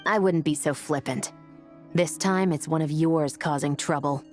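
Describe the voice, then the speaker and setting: A woman's voice: low voice, measured pace, darkish tone, soft background music. A woman playing a stern, dutiful patrol officer in a video game, firm and businesslike. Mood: serious. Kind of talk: video game character acting.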